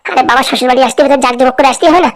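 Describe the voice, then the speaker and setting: A woman's voice: high voice, very fast. A woman voicing a boastful, wheedling village son-in-law in a comedy cartoon, with a Pabna Bengali accent. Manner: showy, wheedling, excuse-making. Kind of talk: rural comedy cartoon skit.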